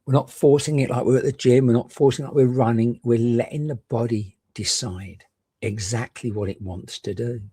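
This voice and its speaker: A man gently and reassuringly guiding a meditation, leaving unhurried pauses between short phrases. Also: mid-range voice, fairly even intonation, husky, dry recording, clean studio sound. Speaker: meditation guide. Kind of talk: guided meditation.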